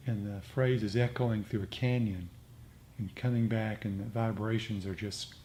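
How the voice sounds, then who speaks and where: A man gently instructing a meditation group in an informal, conversational way. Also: lowish voice, steady tone, normal speed. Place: indoor meditation group meeting. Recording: clearly noisy room recording.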